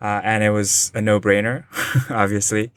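A man in his forties from Japan, talking as a guest in a relaxed interview, with frequent "uh" fillers, joining from a remote call. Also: fairly low pitch, moderate pace, clean tone. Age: 40s. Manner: thoughtful, many "uh" fillers. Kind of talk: casual interview conversation. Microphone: remote online call.

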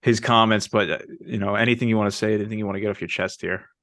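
A young man hosts a sports podcast and tells stories with eager excitement. His voice sits a little low. The sound is dry and close to the mic. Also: racing, dry recording. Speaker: podcast host. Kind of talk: casual sports chat.

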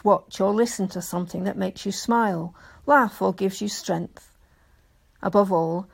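A woman of medium pitch reads a letter aloud. There is a clear creak and fry in her voice, and her delivery is measured and deliberate. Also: a little mellow. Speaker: volunteer reader. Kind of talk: reading a letter aloud, scripted.

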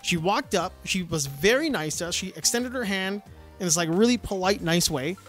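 A young man chatting casually on a podcast, an animated storyteller full of restarts and "like" fillers. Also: high-pitched, moderate pace, faint music behind.

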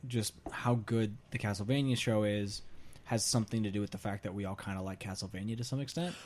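Male podcast co-host, lower-pitched voice, casual and conversational, drawing out the other speakers. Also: fairly even intonation, a little fast, a crowd in the background. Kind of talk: casual group chat about pop culture.

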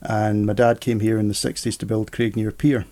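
A man's voice: lowish voice, level tone, normal speed, dark tone. A middle-aged man, an interview guest chatting in an easygoing, rambling way. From Scotland. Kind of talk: casual conversation.

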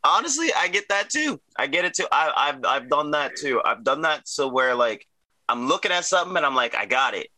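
Bright-voiced man chatting casually. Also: a little high, very animated. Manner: agreeable, quick affirmations, rambling. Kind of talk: casual chat.

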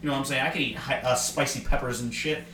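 A man's voice: medium pitch, fairly even intonation, fast. A man co-hosting a casual podcast, joking around and swearing freely in a rambling way. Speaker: podcast co-host. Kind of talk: casual chat. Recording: echoey room, mic at a distance, faint hiss.

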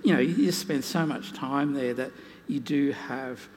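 A man speaking unscripted on a live panel as a naturalist and author, telling anecdotes in an animated, self-deprecating way. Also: medium pitch, a little slow. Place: large indoor hall at a live museum event. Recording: hall reverb, noisy live event.

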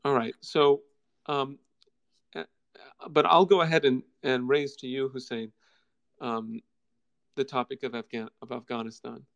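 Man host, casual, hesitant, gravelly. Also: mid-range voice, fairly even intonation, a little slow, pauses often, fairly bright tone. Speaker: discussion host. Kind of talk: moderating an online audio discussion. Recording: gravelly, dull lo-fi audio.

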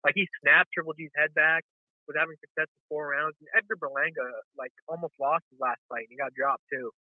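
Sick raspy caller over the phone. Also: male voice, on the high side, fairly even intonation, fairly quick, no echo. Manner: casual, laid-back, says dude. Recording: phone line.

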